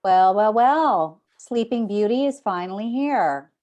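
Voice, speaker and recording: A woman plays a sarcastic sitcom boss. She drawls slowly and sardonically in a mellow, mid-pitched voice. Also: animated, lo-fi sound, natural voice. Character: Amy, sarcastic female sales manager. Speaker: actor in a sitcom table read. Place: indoors, on a video call.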